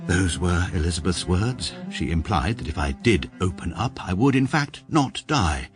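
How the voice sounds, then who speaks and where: An actor playing a short, pompous, detached middle-aged barrister who narrates his own troubles in a measured, sarcastic manner for a radio comedy drama. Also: low voice, expressive, music in the background. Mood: dry.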